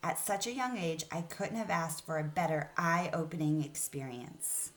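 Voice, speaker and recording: A woman's voice: low voice, lively intonation, a little slow, rough recording. A woman speaking as an elementary school teacher, reading aloud and explaining a lesson to young children, addressing them directly with questions.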